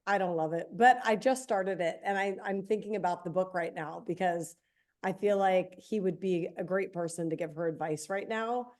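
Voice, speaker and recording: A woman in her forties co-hosting a podcast, hoarse today, chatting casually with quick self-deprecating asides. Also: lowish voice, darkish tone. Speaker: podcast co-host. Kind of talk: casual chat.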